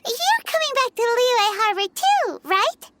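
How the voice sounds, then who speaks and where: A woman voicing a tiny, chirpy fairy-like companion in a bubbly, squeaky way, with loud game music behind. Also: very animated, unhurried, very noisy, full character voice. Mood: curious. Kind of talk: video game voice acting.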